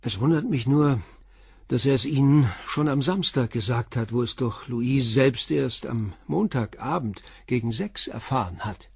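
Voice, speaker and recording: Stern radio-drama detective, husky, grilling a witness hard, dry studio sound. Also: male voice, medium pitch, unhurried, darkish tone, no echo, full character voice. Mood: pressing. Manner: blunt, pressing interrogator. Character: stern, probing male police inspector. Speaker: police commissioner.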